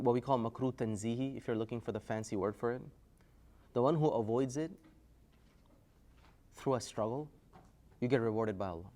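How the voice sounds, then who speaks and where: Male lecturer, energetic classroom teacher, call-and-response style, middle-pitched. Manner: energetic, quizzing the class, rising loudly to prompt answers. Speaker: Islamic studies teacher.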